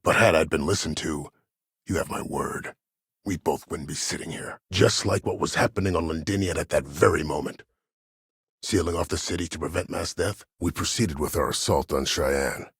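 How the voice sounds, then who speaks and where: An actor playing a cold, proud, older former war admiral in a video game, speaking formally and with measured authority in a gravelly, husky voice. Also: male voice, extremely low pitch, a bit bright, no room sound, clean studio sound, exaggerated voice. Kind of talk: dramatic video game dialogue.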